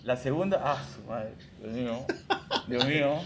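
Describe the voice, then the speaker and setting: A man's voice: medium pitch, moderate pace, a bit bright, a little hiss or hum. A man hosting a casual radio chat about music, teasing and laughing often. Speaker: radio host.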